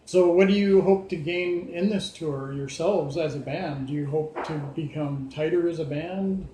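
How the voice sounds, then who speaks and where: A man's voice: on the high side, moderate pace, warm tone. A man in his fifties, a music blogger, casually interviewing a young band with friendly leading questions. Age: 50s. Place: music venue. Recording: echoey room with crowd noise.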